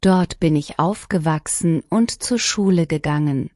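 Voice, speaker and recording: A woman reading short lesson sentences one at a time, each clearly and deliberately, for learners to repeat. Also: low voice, darkish tone, no echo, clean studio sound. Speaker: language lesson reader. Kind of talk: scripted shadowing practice reading.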